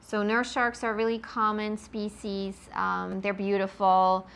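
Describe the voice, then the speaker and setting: Bright-voiced Swiss woman explaining eagerly. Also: medium pitch, monotone, measured pace, creaky, clean tone. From Switzerland. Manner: enthusiastic, explanatory, many ums. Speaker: marine biologist guest. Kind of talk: interview answers.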